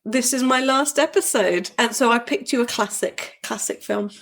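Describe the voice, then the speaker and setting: A woman's voice: on the high side, normal speed, a bit bright. A woman co-hosting a podcast, sounding bunged up from a cold and joking in a dry, self-mocking way. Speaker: podcast co-host. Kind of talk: casual podcast chat.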